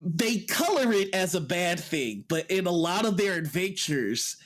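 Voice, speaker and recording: Male panelist, higher register, enthusiastic and explanatory, in relaxed conversational tabletop gaming talk. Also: unhurried.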